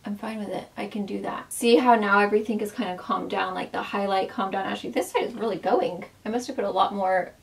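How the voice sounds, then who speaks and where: A woman's voice: medium pitch, fairly even intonation, fast, gravelly, hardly any pauses, recorded from a distance. A woman chatting through a makeup tutorial as a former makeup artist, talking in a casual way full of fillers, losing her voice so that it often cracks.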